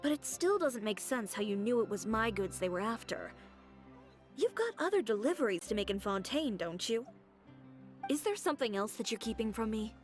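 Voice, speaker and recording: A woman's voice: fairly high pitch, very animated, moderate pace, soft background music. A woman voicing a confident, blunt young female fashion designer with a composed, dry manner, in an anime-style video game. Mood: skeptical.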